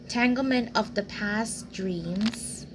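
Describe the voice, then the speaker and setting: A woman giving casual, halting gameplay commentary, thinking aloud and repeating phrases as she plays. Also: medium pitch, background noise. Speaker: gamer narrating a playthrough. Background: loud game music.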